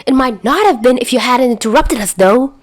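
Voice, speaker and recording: A woman's voice: sits high, brisk, pitched above the performer's own voice. A woman voicing an indignant, combative teenage schoolboy in an audiobook-style story. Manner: accusing, combative. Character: heated, indignant teenage schoolboy, quick to bristle. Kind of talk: audiobook-style fan fiction dialogue.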